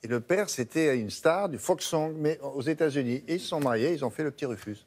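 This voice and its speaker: Man, morning show presenter, casual, relaxed, brief interjections. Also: on the high side, brisk. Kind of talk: casual studio chat. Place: TV studio.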